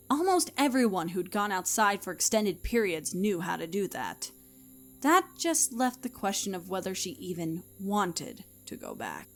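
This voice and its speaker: Woman narrating a story over music. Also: medium pitch.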